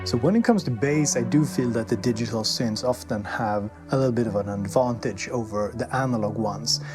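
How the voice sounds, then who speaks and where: Loud synthesizer music plays behind a man with a mid-range voice. He speaks casually as a reviewer, presenting and demonstrating as he goes. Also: medium pitch, brisk. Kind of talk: casual product demo talk. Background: synthesizer demo sounds.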